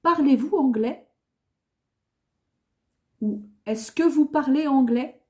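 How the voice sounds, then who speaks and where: A woman teaching a beginner French lesson, saying each useful phrase twice with careful, clear enunciation. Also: high-pitched, slow pace, mellow. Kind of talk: beginner language lesson, repeating phrases slowly.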